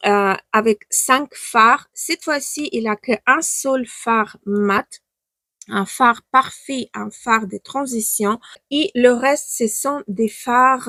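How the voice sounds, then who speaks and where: A young Ukrainian woman with a fairly high voice, speaking French as a second language in a relaxed beauty-vlog chat. She hesitates often and restarts her sentences. The recording is close and dry, with no room sound. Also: no room sound. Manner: chatty, with frequent hesitations and restarts. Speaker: beauty vlogger. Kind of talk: casual makeup review.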